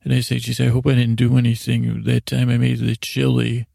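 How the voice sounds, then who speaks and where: A man hosting a sleep podcast, rambling in a halting, self-interrupting way with silly, self-deprecating asides that trail off mid-thought. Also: medium pitch, monotone, gravelly, warm tone, dry recording, clean studio sound. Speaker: podcast host.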